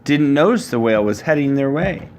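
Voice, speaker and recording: Male reader, dad at storytime. Measured, rhyming picture-book delivery, medium pitch, slightly noisy home recording. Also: animated. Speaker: father reading to his child. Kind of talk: reading a children's picture book aloud.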